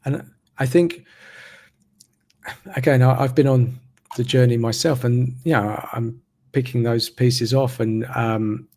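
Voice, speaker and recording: Man in his 30s, gravel in the voice, steady slow reflective talker, hosting an interview. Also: medium pitch. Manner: slow, even, reflective delivery. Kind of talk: casual interview conversation. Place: remote video call. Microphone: video call mic.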